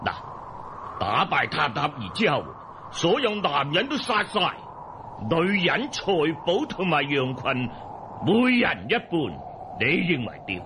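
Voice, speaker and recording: A man's voice: on the high side, sing-song, a little slow, noisy background. A man playing a boisterous, ruthless old Mongol khan in a Cantonese audio drama, talking in a hearty, patronising, theatrical way. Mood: hearty, scheming. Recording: music bed behind the voice.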